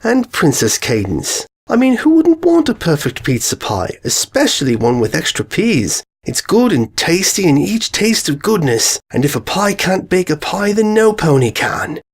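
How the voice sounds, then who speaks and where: A man voicing a hyper, bubbly young female pony baker who rambles giddily in a high squeak. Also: big pitch swings, normal speed, hardly any pauses, slightly rough, heavily acted. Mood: giddy. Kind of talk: fan story reading.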